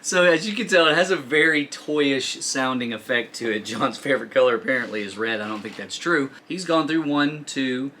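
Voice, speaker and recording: A man's voice: medium pitch, normal speed, hardly any pauses, bright, forceful tone, slight background noise. A man hosting a casual, joking product demo, informal and teasing as he explains the features. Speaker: host of a product review show. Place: studio.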